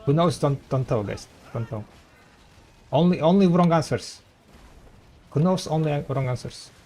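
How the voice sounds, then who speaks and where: A man whose first language is Russian, with a light Russian accent, chatting with viewers as a game streamer and trailing off in halting restarts. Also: a little high, lively intonation, dark tone. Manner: rambling, halting, many restarts. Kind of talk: casual chat with viewers.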